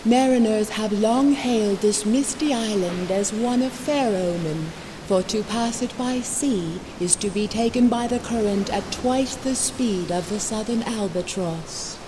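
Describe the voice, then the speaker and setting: A woman playing a solemn storyteller, narrating a fantasy video game in a grand, measured way. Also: medium pitch, natural voice. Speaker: campaign narrator. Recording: noisy game audio with hiss.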